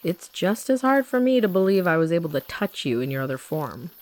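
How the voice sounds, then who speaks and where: A woman playing a guilt-ridden young monster hunter turned gentle rebel in a roleplay audio drama, softly confiding in the listener. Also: fairly low pitch, sing-song, fairly quick. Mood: calm, teasing. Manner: soft, confiding, addressing the listener directly.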